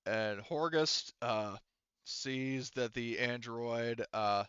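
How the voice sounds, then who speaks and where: A man's voice: mid-range voice, extremely slow, a bit bright, clear, resonant tone, dry recording, lo-fi sound. A man acting as game master in a tabletop game, casually narrating and explaining rules while thinking aloud.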